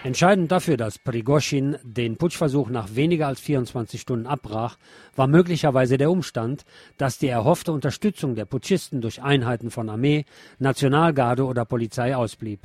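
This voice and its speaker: Guy reading out news commentary, mid pitch, formal and measured, very dry recording. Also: a little mellow, no room sound. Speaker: radio news presenter.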